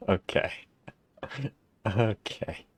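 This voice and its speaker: A man's voice: on the low side, extremely slow, breathy edge, no room sound. A man casually commenting and reacting while he plays a video game. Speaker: gaming video host. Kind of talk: casual reactions over gameplay.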